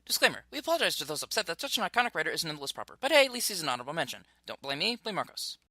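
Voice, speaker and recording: A man's voice: a little high, very animated, rapid-fire, pushed and bright, soft background music. A man reading scripted narration as a guest presenter on a video.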